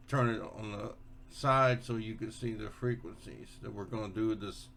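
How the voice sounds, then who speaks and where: A man giving a casual, unscripted hands-on explanation as a hobby video host, halting and often repeating and rephrasing himself. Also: fairly low pitch, creaky, slight background noise.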